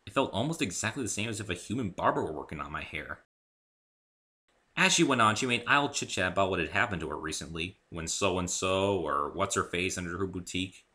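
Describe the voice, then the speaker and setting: A man's voice: deep voice, lively intonation, fairly quick, pushed and bright. A man giving a dramatic amateur reading of his own story as narrator. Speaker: amateur reader of own fan fiction.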